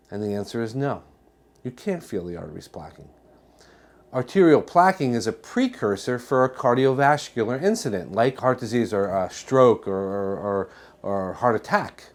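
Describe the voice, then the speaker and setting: A man's voice: mid-range voice, very animated, moderate pace. Emphatic American man giving a talk. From New Jersey, United States. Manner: emphatic, rhetorical questions, repeats points for effect. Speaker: chiropractor giving a health talk. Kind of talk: educational presentation to an audience.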